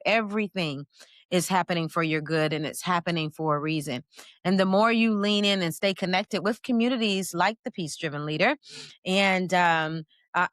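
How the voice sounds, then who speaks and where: A woman from Florida hosting an interview podcast, effusive and upbeat with sweeping swings in pitch, speaking over a video call. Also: a bit bright, no room sound, studio quality. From Florida, USA. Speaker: podcast host.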